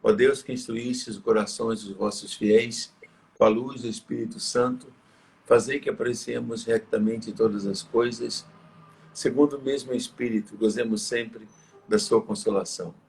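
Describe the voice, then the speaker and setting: A tired, slightly hoarse male priest, leading prayers and preaching in a reverent, measured way. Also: medium pitch, fairly even intonation, darkish tone, slight background noise, rough recording. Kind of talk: live religious talk and prayer.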